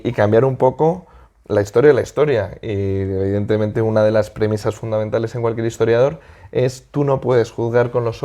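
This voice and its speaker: A man from Madrid with a Spain accent, answering as a political interview guest in a halting, conversational way, searching for words. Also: medium pitch, dark tone.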